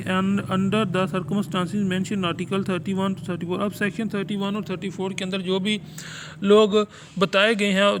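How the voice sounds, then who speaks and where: High-pitched Pakistani man giving a slow lecture. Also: slight background noise. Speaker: law lecturer and channel host. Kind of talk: explanatory lecture, reading notes in English and explaining in Urdu.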